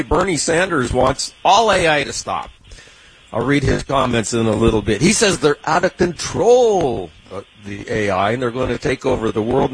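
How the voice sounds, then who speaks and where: A man with a San Diego accent talks through the markets in a loose, rambling way, dropping fillers and small chuckles as he goes. His voice has a gravelly, fry-laden edge at a middle pitch. A faint hiss of noise sits under the recording. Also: poor audio. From Southern California. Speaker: radio finance show host. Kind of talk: casual market commentary.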